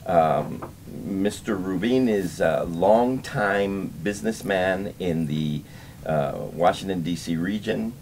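A man interviewing guests, asking halting questions. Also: deep voice, a little mellow. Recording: distant off-mic voice with faint noise.

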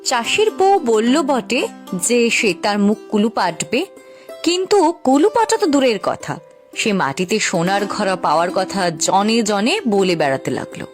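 A woman's voice: mid-range voice, expressive, moderate pace, faint music behind, lightly acted. A woman narrating a folk tale in a storytelling style.

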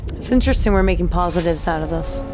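A woman's voice: fairly low pitch, fast. A young woman co-hosting a reaction show, commenting casually through a headset. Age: young adult. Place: small indoor streaming setup. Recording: game music behind the voice.

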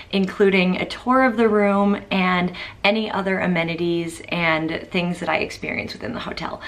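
A woman in her thirties, a travel vlogger giving a chatty, upbeat tour of a small hotel room, filming with a handheld camera. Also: on the low side. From Boston, USA. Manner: chatty, upbeat, thinking aloud with fillers. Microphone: handheld camera at arm's length.

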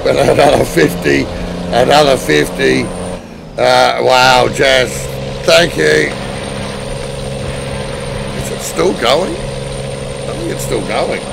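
Hoarse, gravelly Australian man streaming. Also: mid-range voice, slow pace, long pauses, background noise. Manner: excitable, thanking chat viewers. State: hoarse, losing his voice. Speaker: livestreamer. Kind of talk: live game stream chat. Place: indoor room at a desk. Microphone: headset.

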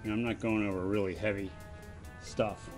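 A man giving a casual step-by-step how-to while working on a boat trailer on his driveway, often turning away from a low camera. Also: level tone, moderate pace, music in the background. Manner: plain, practical, step-by-step explaining while working. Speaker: DIY vlogger. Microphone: camera mounted low on the ground, voice often off-mic.

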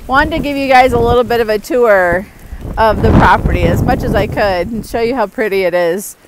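A woman's voice: on the high side, a little fast, heavy background noise. A middle-aged woman chatting casually and candidly to viewers as a homestead vlogger, outdoors on an earbud mic. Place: outdoors in the woods.

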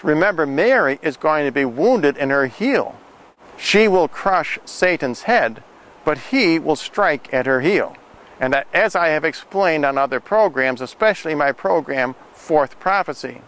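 An older man delivering an earnest religious monologue to camera in a deliberate, emphatic, didactic way. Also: on the high side, fairly bright tone, poor audio. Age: older, 60s-70s. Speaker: video preacher, host of a prophecy program.

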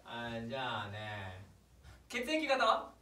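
A man's voice: medium pitch, wildly swooping pitch, takes it slowly, sharp tone, exaggerated voice. A man playing an earnestly silly young climber in a live stage comedy sketch. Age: young adult. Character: deadpan-silly young male climber, earnest about absurd games. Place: small theatre stage, indoors. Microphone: far camera. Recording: distant, echoey, noisy theatre recording.

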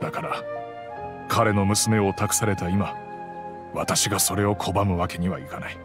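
A low-pitched, middle-aged gentleman, head of a rich household. He speaks in a measured, gentle and fatherly way with some remorse, as in an anime game story. Soft music runs underneath. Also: steady tone. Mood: earnest, fatherly.

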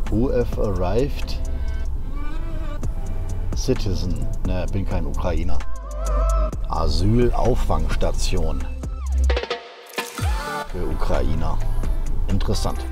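A man's voice: low voice, very animated, takes it slowly, long pauses. A man in his forties, vlogging from a car and a supermarket, chatty and wry, talking to a camera held at arm's length, with music under his voice. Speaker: travel vlogger. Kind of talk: casual travel vlog. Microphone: handheld camera at arm's length.

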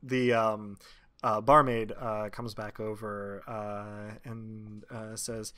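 Man, game master, somewhat low pitch, halting conversational narration, tabletop storytelling. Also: little pitch movement, pushed and bright.

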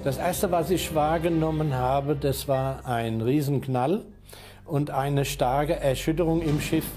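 A man's voice: on the high side, fairly even intonation, measured pace, warm tone, faint music behind. A man giving an eyewitness recollection in a documentary interview.

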